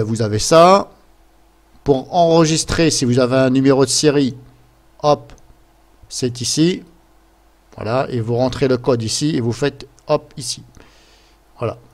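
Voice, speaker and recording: A man gives a casual, improvised screen-share tutorial, hesitant and rambling as he goes step by step into his computer microphone. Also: fairly high pitch. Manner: rambling, hesitant, step-by-step, fond of filler words. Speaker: software tutorial presenter.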